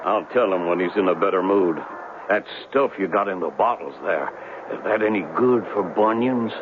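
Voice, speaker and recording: An actor playing a gruff, plain-spoken small-town police sergeant in a 1970s radio drama, blunt and colloquial. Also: male voice, sits low, moderate pace, natural voice. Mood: brusque, curious. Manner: blunt, colloquial, hesitant uhs. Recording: tinny, noisy 1970s radio recording.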